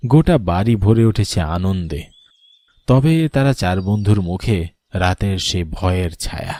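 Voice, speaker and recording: A man's voice: deep voice, expressive, measured pace, dark tone, no room sound. A man giving dramatic, scripted narration of a ghost story.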